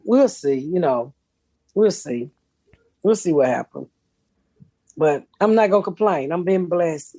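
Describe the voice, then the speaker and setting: A middle-aged woman, hosting a podcast in a blunt, profane way and laughing often. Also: mellow. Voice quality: deep voice for a woman. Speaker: podcast host. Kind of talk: casual comedic chat.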